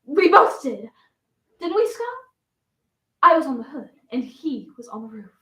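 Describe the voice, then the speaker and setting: A woman's voice: high-pitched, sing-song, unhurried, a bit bright, heavily acted. A woman playing a flamboyant, wistful Southern belle flapper in a theatrical stage monologue. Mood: playful reminiscing. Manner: dramatic, swinging from coy reminiscence to defiant outbursts. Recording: roomy echo, distant microphone.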